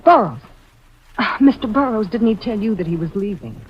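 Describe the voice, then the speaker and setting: A woman's voice: medium pitch, expressive, a little fast, slight background noise, close to an everyday voice. A woman acting an anxious, defensive wife in an old-time radio suspense drama. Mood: uneasy, pleading. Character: anxious, defensive wife who narrates her suspicions. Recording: dull vintage radio recording with music.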